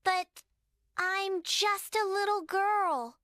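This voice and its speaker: A woman's voice: very high voice, fairly even intonation, drawn-out words, fairly bright tone, no room sound, studio quality, heavily acted. A woman voicing a small, earnest preschool girl who is loyal and brave, in a children's bedtime story. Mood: unsure.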